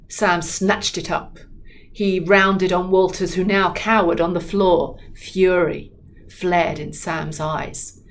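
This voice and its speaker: A woman author reading in an expressive, dramatic storytelling style with deliberate delivery. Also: mid-range voice. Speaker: author reading from own book.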